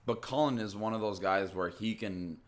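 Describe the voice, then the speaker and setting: A young man co-hosting a podcast, with a loud voice and an easygoing manner. He sits very low in pitch and swears freely as he chats. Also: normal speed. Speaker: podcast co-host. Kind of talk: casual podcast chat.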